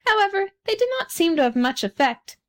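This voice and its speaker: A woman's voice: very high voice, very animated, a little fast, voice pushed up. A woman voicing a placid, practical female duck from a storybook, with a peaceable, matter-of-fact manner. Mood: chatty, domestic. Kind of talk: storybook character reading.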